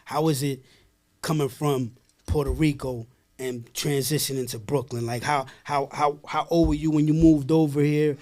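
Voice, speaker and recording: A man's voice: a little high, fairly even intonation, normal speed, a little mellow. A man with a Dominican accent hosting a podcast, hyped and streetwise, reading a scripted intro and chatting casually. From born in the US, Dominican family. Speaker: podcast host. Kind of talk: scripted intro narration and casual interview chat.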